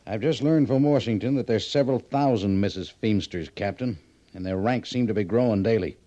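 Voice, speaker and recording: An actor playing a harried, authoritative American army major in an old-time radio western, on a muffled old recording. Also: male voice, on the low side, fairly quick, warm tone, close to an everyday voice. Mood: defensive, explaining. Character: harried, defensive army major commanding a frontier post.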